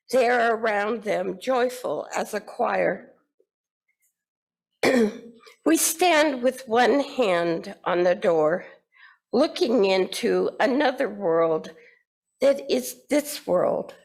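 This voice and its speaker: Female poetry reader, fairly high voice with heavy creak, taking her time. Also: low-quality recording. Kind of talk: slow poetry reading.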